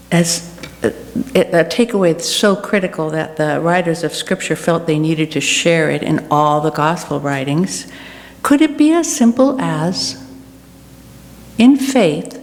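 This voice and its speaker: A woman giving a church reflection as a guest speaker, deliberate, with pauses and rhetorical repetition. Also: lowish voice. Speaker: guest speaker for a food charity. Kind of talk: church reflection, delivered as a speech. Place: large church room. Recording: echoey hall with background noise and hum.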